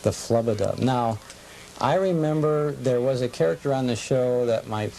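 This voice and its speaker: A middle-aged American man hosts a conversational interview, asking inquisitive questions and checking details. His voice is soft-edged and mellow with a gravelly creak. The recording is an old television tape with some noise. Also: mid-range voice, normal speed. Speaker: host. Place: television studio. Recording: noisy, deteriorated old cable TV tape.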